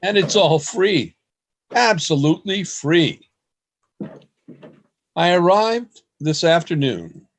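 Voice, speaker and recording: Man playing a slow, rapturous, theatrical poet. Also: a little high, sing-song, exaggerated voice. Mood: elated, confiding. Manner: theatrical, declamatory. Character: rapturous, world-weary poet writing a secret journal. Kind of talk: dramatic radio play monologue. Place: home, over a video call.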